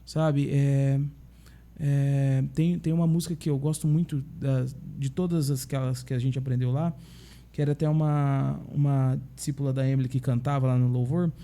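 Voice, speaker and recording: A man, a pastor with a Brazilian accent, telling stories in a relaxed conversation and often checking in with tag questions. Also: medium pitch, moderate pace. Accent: Brazilian Portuguese. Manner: chatty storyteller, frequent tag questions. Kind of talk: casual podcast conversation.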